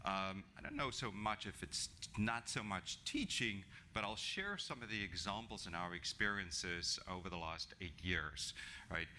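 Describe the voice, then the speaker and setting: Bright-voiced man from South Africa, English second language. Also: low voice, lively intonation, measured pace, a little hiss or hum. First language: not English. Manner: conversational, many ums and restarts. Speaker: guest speaker. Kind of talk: business talk at a breakfast event. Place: function room with an audience. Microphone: podium microphone.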